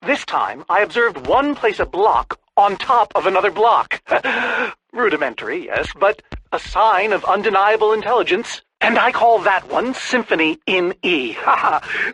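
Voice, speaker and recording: A middle-aged man voicing an eccentric, lonely, excitable researcher in a video game, with verbose, pompous, theatrical diction. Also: sits high, a little slow, slightly rough, exaggerated voice, low-quality recording. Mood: giddy. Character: eccentric, lonely, excitable male researcher obsessed with studying creatures. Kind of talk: animated video game voice acting.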